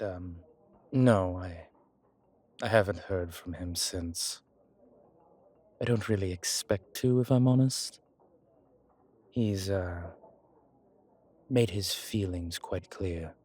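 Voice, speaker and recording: A man playing an old, vain, genteel vampire in an intimate audio drama, speaking formally and theatrically with hesitant pauses. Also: deep voice, a little mellow. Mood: rueful, hesitant.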